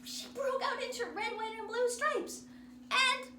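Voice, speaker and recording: A woman reading a children's story aloud in a theatrical, emphatic, expressive manner. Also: extremely high pitch, a little slow. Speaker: drama teacher. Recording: roomy, distant mic, noisy background.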